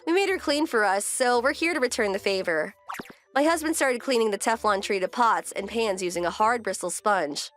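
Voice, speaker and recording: A woman's voice: a little high, animated, normal speed, fairly bright tone, soft background music. A woman playing a composed, wry wife who narrates her domestic story in a scripted manga dub. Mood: matter-of-fact.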